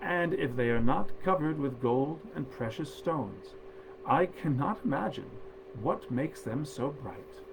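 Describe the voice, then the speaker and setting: A man storyteller reading a literary tale aloud in a measured, theatrical and genteel manner. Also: a little high, lively intonation, noisy background.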